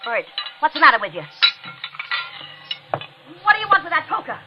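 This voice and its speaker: An American actress playing a bitter, taunting unfaithful wife in a 1940s radio drama, with a sneering, cutting delivery. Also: female voice, sits high, very animated, moderate pace. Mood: alarmed. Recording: dull, noisy old radio recording.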